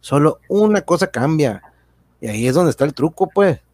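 A man's voice: on the high side, animated, moderate pace, a little mellow, no echo. A man playing a folksy, indignant explainer, full of colloquial tags and rhetorical questions in a radio drama. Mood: explaining. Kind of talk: educational radio drama dialogue.

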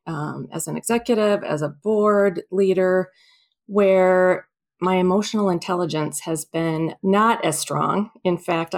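A woman co-hosting a podcast, speaking candidly and reflectively about herself. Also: fairly low pitch. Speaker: podcast co-host. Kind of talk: casual conversation.

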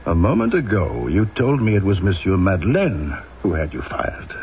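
A domineering police inspector in a vintage radio drama, sardonic and clipped. The low voice moves with lively emphasis. The recording is dull and slightly noisy. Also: male voice, moderate pace, full character voice. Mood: stern, insinuating. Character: cold scheming police inspector, stern and interrogating. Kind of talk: old-time radio drama acting.

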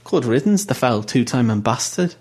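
A man's voice: on the high side, steady tone, fairly quick, creaky, a little mellow, pitched above the performer's own voice. A man voicing a crude, scornful male soldier who speaks bluntly and with contempt.

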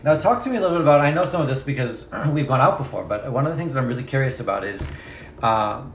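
A man's voice: mid-range voice, lively intonation, fast talker, echoey room, a little hiss or hum. A man interviewing a guest in a chatty, curious way, prompting him with questions. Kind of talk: casual interview conversation.

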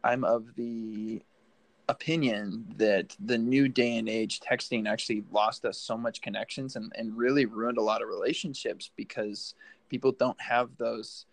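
A man hosting a podcast, asking long, rambling questions in a relaxed, go-with-the-flow way. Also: mid-range voice, unhurried, gravelly. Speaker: podcast host. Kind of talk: casual interview.

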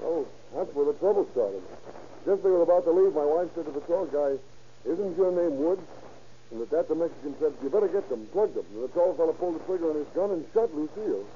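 An actor playing a wounded, frightened young husband in an old-time radio crime drama. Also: male voice, a little high, lively intonation, fast, dark tone, close to an everyday voice. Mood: frightened, defensive. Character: wounded, frightened young husband pleading, then recounting a holdup. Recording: muffled, noisy old radio transcription.

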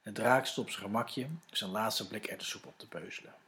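A man's voice: lowish voice, fairly quick, pushed and bright. Dutch man narrating a children's story. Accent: Netherlands Dutch.

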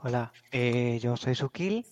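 A man's voice: mid-range voice, lively intonation, takes it slowly, a little mellow. A man from Navarra in Spain, introducing himself carefully and spelling out his handle. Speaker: podcast contributor. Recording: dull, reverberant remote audio.

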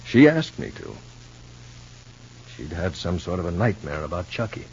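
A man playing an earnest, worried, ordinary fellow in a 1950s radio soap opera, speaking in a halting way. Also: sits low, big pitch swings, a little mellow, slightly rough, heavily acted. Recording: muffled old radio recording with hum.

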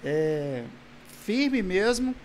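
A Brazilian man in his forties, a pastor, talking casually in an interview about his family and childhood, halting with restarts. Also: high voice, very animated, slight background noise. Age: 40s. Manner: halting, with frequent restarts and soft laughs.

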